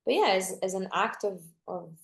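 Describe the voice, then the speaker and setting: A woman's voice: mid-range voice, expressive, moderate pace, vocal fry. A young woman from Greece, a dancer, talking reflectively in an interview, often restarting and searching for words. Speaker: guest dancer.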